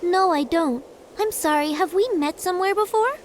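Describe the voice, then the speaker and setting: A woman's voice: extremely high pitch, normal speed, a little hiss or hum. A woman voicing a polite, earnest young woman in a game cutscene. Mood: puzzled. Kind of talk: video game cutscene acting.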